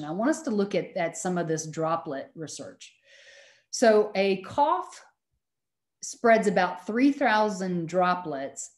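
Chatty lecturing woman, echoey room. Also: lowish voice. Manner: loud projecting voice; casual chatty teaching with fillers. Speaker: university lecturer. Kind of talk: online lecture over slides. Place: home room on video call. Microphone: laptop mic on video call.

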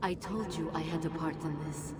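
A woman's voice: deep voice, fairly even intonation, normal speed, lightly acted. An actress playing a solemn, regal female djinn spirit who speaks formally in a video game. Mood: resolute. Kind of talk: dramatic video game acting. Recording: echoing hall, heavy background noise.

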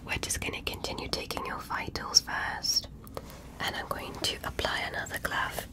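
A woman playing a curious, polite alien doctor in a gentle, methodical ASMR medical roleplay, whispering her questions. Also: moderate pace, lightly acted.

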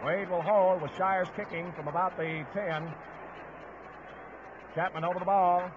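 A man's voice: sits high, fairly even intonation, measured pace. A man calling live play-by-play football commentary on a 1950s radio broadcast. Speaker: play-by-play sports announcer. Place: stadium broadcast position. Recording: noisy, muffled old 1950s radio broadcast. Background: outdoor stadium crowd noise.

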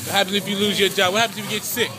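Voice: medium pitch, little pitch movement, very fast, a loud crowd. An insistent protester speaking confrontationally and repeating challenges, recorded inside a grocery store. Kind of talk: confrontational street protest talk. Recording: echoey, very noisy crowded store.

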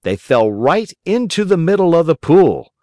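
A man narrating a children's story as an audiobook reader, in a gentle, expressive way. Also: a little high, moderate pace, no echo, clean studio sound.

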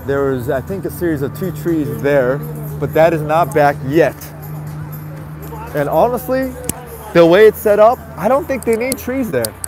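Stuffy-nosed male vlogger, chatty and relaxed, speaking into a handheld phone on a busy night street with faint music. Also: fairly high pitch, a little slow. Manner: chatty, repeats greetings, talks to viewers. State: stuffy nose. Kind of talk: casual live-stream walking commentary. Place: city sidewalk outdoors at night. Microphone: handheld phone camera.

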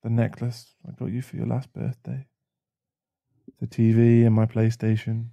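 A man playing a caring, protective boyfriend in an intimate ASMR roleplay, speaking softly and soothingly with halting pauses. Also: medium pitch, monotone, creaky, no echo, dull audio, lightly acted. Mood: reassuring, loving. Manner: soft, soothing, halting, sighing. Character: caring, protective, shaken boyfriend comforting a partner. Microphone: close mic.

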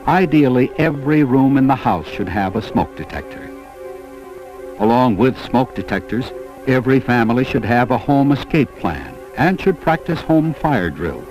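A man reading scripted educational film narration in a measured, deliberate way. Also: medium pitch, a little mellow, low-quality recording.

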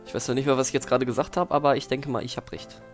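A man's voice: mid-range voice, level tone, very fast. A young man hosts a gaming session in a casual, joking way. His banter is ironic and teasing, with game music playing behind him. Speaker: Let's Play host. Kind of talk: casual joking gameplay chat.

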